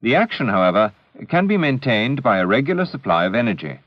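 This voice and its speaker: A man narrating a scripted educational film in a measured, deliberate, didactic manner, on an old 16mm film soundtrack. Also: mid-range voice, sing-song, dry recording, dull audio.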